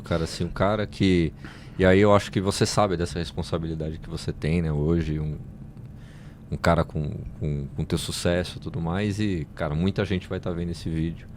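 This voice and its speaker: A man's voice: deep voice, darkish tone, a little hiss or hum. A man with a Brazilian Portuguese accent hosting a podcast, asking long, informal, slangy questions. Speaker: podcast host. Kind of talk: casual interview hosting.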